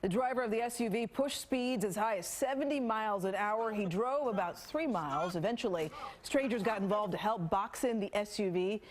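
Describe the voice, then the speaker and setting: A woman's voice: mid-range voice, brisk, hardly any pauses. A woman with an American accent reading the news as a TV anchor. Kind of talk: scripted news reading with light co-anchor banter.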